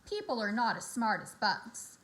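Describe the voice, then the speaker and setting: A woman's voice: mid-range voice, wildly swooping pitch, normal speed, a bit bright. A woman voicing a clever, self-assured female spider who schemes fondly in a storybook. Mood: confident, musing.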